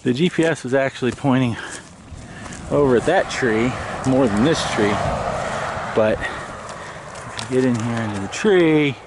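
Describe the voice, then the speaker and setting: A man's voice: mid-range voice, unhurried, long pauses. A middle-aged American man chatting informally and explaining things as a vlogger, recorded on a handheld or car camera. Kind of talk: casual geocaching vlog narration. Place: car interior and open roadside outdoors. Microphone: handheld camera and dash cam. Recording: noisy outdoor and in-car camera audio.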